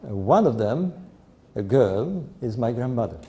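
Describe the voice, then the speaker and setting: An older man from Egypt with a mixed European-Egyptian accent, telling his family story to an audience in an unhurried, anecdotal way and chuckling now and then. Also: medium pitch, big pitch swings, mellow. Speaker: speaker giving a talk to an audience. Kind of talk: storytelling talk.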